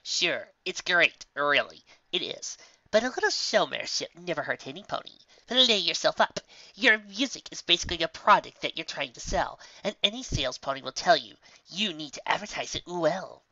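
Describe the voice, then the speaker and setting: A man's voice: a little high, animated, moderate pace, bright, forceful tone, dry recording, dull audio, pitched above the performer's own voice, exaggerated voice. A man voicing a pompous, theatrical female pony stage magician in a fantasy cartoon fan story. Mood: persuasive. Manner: theatrical, boastful.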